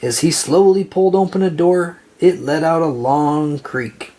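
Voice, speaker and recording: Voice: mid-range voice, unhurried, mellow. A storyteller reading a children's picture book aloud in narration style. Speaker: storybook reader.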